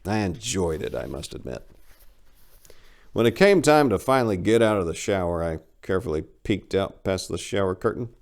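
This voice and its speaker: A man with a lowish voice narrates a comic short story in the first person. His delivery is theatrical, with pitch that swings widely from phrase to phrase. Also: lively intonation, moderate pace, creaky. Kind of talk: animated dramatic reading of a first-person story.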